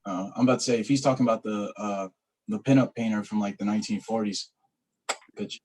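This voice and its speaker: A man's voice: lowish voice, steady tone, fast, a little mellow, poor audio. A man in his twenties chatting casually on a livestream, reading out viewer comments and teasing his friends. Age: 20s. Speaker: concert organizer. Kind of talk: casual chat among friends.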